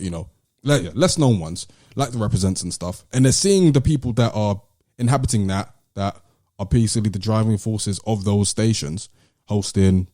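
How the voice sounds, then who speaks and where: Male podcast host, British, deep and relaxed, explanatory, casual delivery. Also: no echo, studio quality. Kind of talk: casual discussion.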